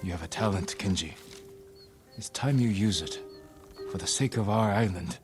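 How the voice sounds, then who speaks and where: An actor playing a stern, honorable samurai lord in a video game cutscene, terse, grave and weary. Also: male voice, lowish voice, normal speed, natural voice. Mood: grave, firm. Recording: music behind the voice.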